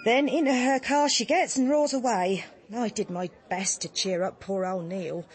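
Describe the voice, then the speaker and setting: A woman's voice: medium pitch, fairly even intonation, moderate pace, music in the background, lightly acted. An actress playing a blunt, chatty English village woman in a radio drama, telling her account in colloquial, indignant style. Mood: indignant, relishing. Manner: colloquial, gossipy, indignant storytelling.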